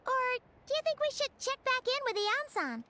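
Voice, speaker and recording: Very high squeaky childlike fairy companion. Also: female voice, very animated, fairly quick, heavy background noise. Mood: excited. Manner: chatty, exclaiming, refers to self in third person. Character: small excitable childlike floating fairy companion. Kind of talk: anime game voice acting. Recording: music behind the voice.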